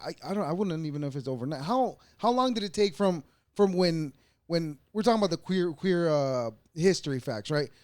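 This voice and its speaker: Higher-voiced man from El Salvador, dry sound. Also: lively intonation, fairly quick, a little mellow, no echo. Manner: conversational, restarts and laughs often. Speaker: podcast host. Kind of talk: casual interview chat.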